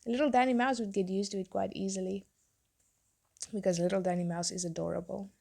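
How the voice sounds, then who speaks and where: A young woman, a tattoo artist, chatting in a rambling way while she draws, her voice hoarse from being sick. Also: mid-range voice, steady tone, a little fast, darkish tone. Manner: rambling, chatty, self-interrupting. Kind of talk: casual chat while drawing.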